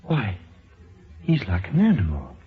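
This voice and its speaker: Uneasy, thoughtful male writer, low and deliberate with dramatic swings, old radio drama, dull recording. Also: huge pitch swings. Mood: dawning horror. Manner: slow, deliberate.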